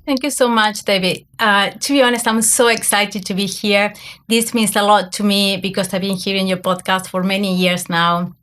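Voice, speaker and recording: Argentinian lady w spanish accent, podcast guest, mid pitch voice, chatty interview answers. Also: steady tone, moderate pace, a bit bright. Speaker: podcast guest, academic coach.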